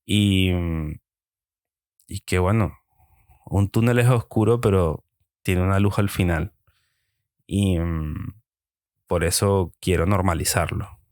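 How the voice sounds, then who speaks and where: A young Venezuelan man with a Venezuelan accent hosting a solo podcast, talking reflectively and pausing often with hesitant fillers. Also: low-pitched, steady tone, a little mellow, no room sound, studio quality. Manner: hesitant, with frequent ums and pauses. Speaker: podcast host.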